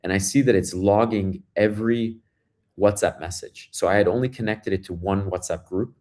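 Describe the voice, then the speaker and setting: Brooklyn-accented man, low register, guest founder, relaxed storyteller who restarts his sentences. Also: normal speed. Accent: Brooklyn New York. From Brooklyn, New York, US. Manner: loose storytelling with many fillers and restarts. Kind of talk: casual interview conversation.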